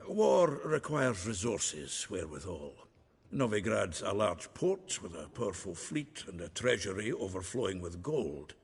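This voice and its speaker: An actor playing a gruff, roguish dwarf in a video game, blunt and wry, with a gravelly, husky voice. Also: male voice, on the low side, very animated, measured pace, long reverb tail, full character voice. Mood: blunt, explanatory.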